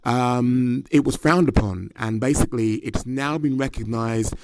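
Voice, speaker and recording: A man's voice: mid-range voice, unhurried, noisy background. A man interviewing a fighter, asking long leading questions in slightly formal phrasing. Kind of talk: sports interview.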